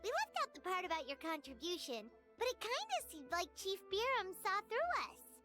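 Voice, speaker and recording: Tiny squeaky fairy sidekick, very high, wildly swooping, perky game character, music behind. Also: female voice, sing-song, normal speed, bright, forceful tone, exaggerated voice. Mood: matter-of-fact. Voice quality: squeaky, childlike. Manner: perky, exclamatory. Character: small chirpy childlike female fairy companion.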